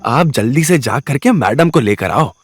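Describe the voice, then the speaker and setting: A man's voice: mid-range voice, animated, normal speed, husky, pitched above the performer's own voice. A man voicing a deferential, helpful rickshaw driver in an audio drama, respectful and pleading. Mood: concerned, eager. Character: loyal, deferential male rickshaw driver, anxious to help.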